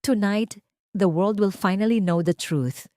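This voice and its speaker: Woman reading as a soft, tender, devoted gentleman. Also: mid-range voice, measured pace, no echo, clean studio sound, close to an everyday voice. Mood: tender, reassuring. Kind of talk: Tagalog romance audio drama dialogue.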